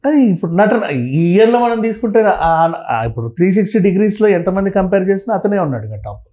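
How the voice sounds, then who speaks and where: A man talks as a commentator in an interview. His voice sits a little high and has a dark, relaxed tone. He speaks conversationally but emphatically, repeating phrases and slipping in English words. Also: fast. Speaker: film and political commentator, interview guest.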